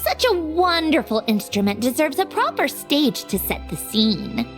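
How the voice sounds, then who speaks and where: A proud, haughty young woman talks in a fairly high voice with sweeping, expressive intonation. She sounds lofty and sure of herself in anime game style, over faint music. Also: measured pace. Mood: flustered, brisk. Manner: self-assured, lofty. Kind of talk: English anime game dub acting.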